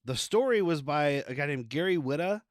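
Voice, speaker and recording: A man's voice: a little high, expressive, brisk, dry recording, studio quality. A man hosting a casual movie podcast, running through film credits with jokes and laughs. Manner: reads off film credits with quips. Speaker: podcast host.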